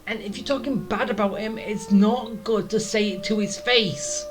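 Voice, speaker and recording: A woman's voice: mid-range voice, normal speed. A woman giving casual let's play commentary, with teasing asides at the game. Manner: teasing asides, often laughing. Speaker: video game streamer. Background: game music.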